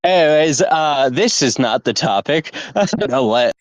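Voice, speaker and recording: A man's voice: on the high side, expressive, measured pace, no room sound. A young man casually hosting a podcast, rambling with lots of "anyways" and laughter. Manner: rambling, many fillers and laughs. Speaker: podcast host.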